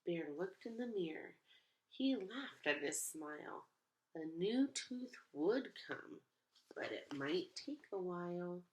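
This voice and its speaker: A woman reading a picture book aloud to young children, pausing to ask them questions in an animated way. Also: fairly low pitch, rough recording.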